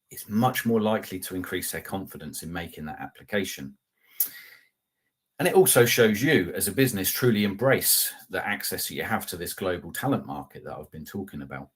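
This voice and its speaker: A man's voice: deep voice, breathy edge. A middle-aged man presenting a product webinar over a video call, talking conversationally with polite asides, fillers and restarts. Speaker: customer success manager presenting a webinar. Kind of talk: live product webinar with slides and screen share. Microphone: video call webcam.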